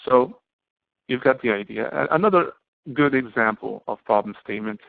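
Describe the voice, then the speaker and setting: A man's voice: mid-range voice, lively intonation, vocal fry, no room sound. Phone-like recording of a male instructor. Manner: halting, instructional, asks and answers own questions. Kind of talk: explanatory online lecture to students. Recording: muffled, band-limited, phone-like audio.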